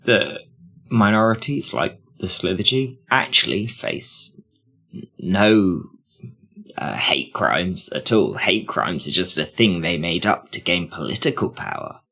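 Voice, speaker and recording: Slow, emphatic man with swooping pitch. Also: big pitch swings, gravelly, pushed and bright, husky. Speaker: podcast co-host. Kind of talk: casual critical discussion.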